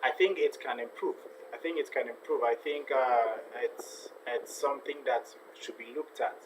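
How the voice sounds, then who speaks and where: A man's voice: medium pitch, moderate pace. A man hosts a casual football watch-along and reads out viewer messages. He talks in a mid-range voice, often repeating himself. Faint background hiss sits under the voice. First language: not a native Ghanaian; speaks with a foreign accent. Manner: chatty, repeats phrases, reads viewer comments aloud. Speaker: live stream host.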